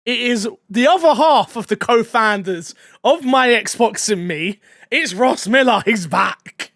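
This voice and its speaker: A man hosting a casual gaming podcast, excitable and joking, laughing often. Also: extremely high pitch, unhurried, creaky, no echo, studio quality. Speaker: podcast host.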